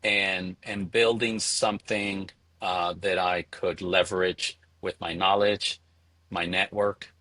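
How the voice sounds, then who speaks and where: A middle-aged man from Venezuela answering interview questions conversationally, in a halting way, repeating words as he thinks. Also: sits low, level tone, pushed and bright, no echo. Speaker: interview guest, spirits entrepreneur.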